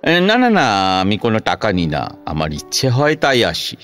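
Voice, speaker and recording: A man's voice: on the low side, wildly swooping pitch, moderate pace, a bit bright, faint music behind. A man playing a polite, patient visiting doctor in an audio drama, courteous and a little hesitant. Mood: patient, mild. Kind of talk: Bengali audio drama acting.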